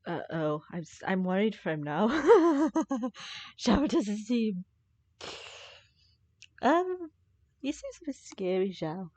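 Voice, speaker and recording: A woman's voice: medium pitch, big pitch swings, slow, long pauses, darkish tone, no echo. A woman game streamer giving casual live commentary as she plays. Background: game music.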